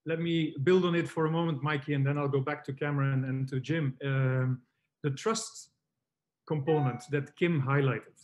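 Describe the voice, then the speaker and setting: A man moderating a webinar panel, measured, linking remarks and passing questions between guests. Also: fairly high pitch, fairly even intonation.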